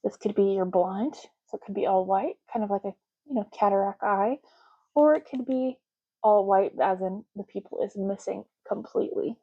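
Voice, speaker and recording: A woman speaking softly and breathily in an unhurried ASMR roleplay, as a clerk helping a customer, gently lingering on and repeating words. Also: medium pitch, highs cut off. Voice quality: soft, breathy, at times dropping to a whisper. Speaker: ASMR creator playing an ID card clerk in a roleplay. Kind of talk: ASMR roleplay, soft-spoken and casual.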